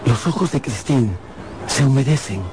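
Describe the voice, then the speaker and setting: A man's voice: mid-range voice, moderate pace, background noise. A man narrating a radio drama in a theatrical, suspenseful style. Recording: loud music behind.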